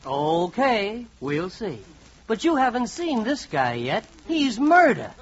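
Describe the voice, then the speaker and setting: High-pitched, animated male radio narrator. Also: takes it slowly. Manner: wry, conversational. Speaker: comedy show narrator. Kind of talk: 1940s radio comedy narration in second person. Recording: muffled, faintly noisy 1940s radio recording.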